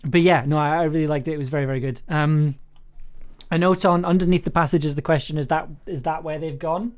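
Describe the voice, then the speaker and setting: A man directing an audio drama, giving casual, encouraging feedback in a halting, repetitive way. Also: a little high, fairly even intonation, darkish tone. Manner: hesitant, encouraging, repeats phrases.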